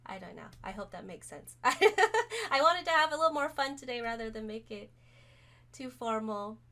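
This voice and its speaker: A woman streamer chatting casually to camera, hoarse from being sick, rambling with many pauses and fillers. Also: fairly high pitch, big pitch swings. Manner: rambling, many ums, soft-spoken. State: hoarse, recovering from illness.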